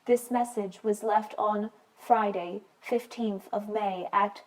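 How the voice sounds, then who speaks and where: A woman's voice: medium pitch, steady tone, slow pace, heavy background noise, lightly acted. A woman voicing an impersonal answering machine announcer, formal and matter-of-fact, in a radio play. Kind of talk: scripted voicemail time announcements.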